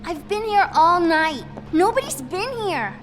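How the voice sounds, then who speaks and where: A woman's voice: extremely high pitch, sing-song, a little slow, noisy background, exaggerated voice. A woman playing an anxious young girl who fears getting in trouble, in a video game. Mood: guarded, reluctant. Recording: music behind the voice.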